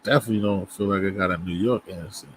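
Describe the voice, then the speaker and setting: A man's voice: low-pitched, steady tone, fast, a little hiss or hum. A man from Boston with a Boston accent talks to his viewers on a casual livestream. His speech rambles and restarts as he greets people and shoots the breeze. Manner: loose, rambling talk to viewers, frequent restarts. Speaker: livestream host.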